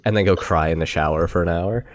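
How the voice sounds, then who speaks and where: A man's voice: sits low, quick pace. A man hosting an interview podcast, giving many short affirmations and chatting casually with his guest. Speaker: podcast host.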